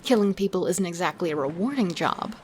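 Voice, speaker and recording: A woman's voice: on the low side, animated, fairly quick, a little mellow, close to an everyday voice. Woman playing a sly female killer, noisy ambience. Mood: teasing, sly. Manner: persuasive, scheming, relishing. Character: cold, thrill-hungry female serial killer, confident and seductive. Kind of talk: audio drama acting with first-person narration.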